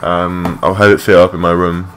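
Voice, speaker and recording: A young man with a low voice giving rambling, matey, sweary gameplay commentary in a casual unscripted way. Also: level tone, normal speed. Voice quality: low-pitched voice. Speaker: gaming video creator. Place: his room at home. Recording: clearly noisy home mic recording.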